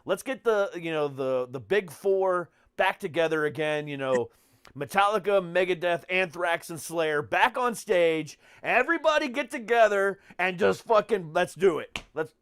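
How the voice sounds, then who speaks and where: A man's voice: high-pitched, lively intonation, a little slow, a bit bright, clear, resonant tone, dry recording, studio quality. An American man hosting a podcast in casual chat, speaking bluntly and swearing freely. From United States. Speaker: podcast host and comedian.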